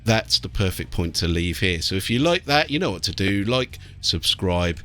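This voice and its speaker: A man's voice: sits low, sing-song, moderate pace, pushed and bright. A British man giving casual gameplay commentary with blokey, sweary banter. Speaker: let's play host. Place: small warm room indoors. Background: game music.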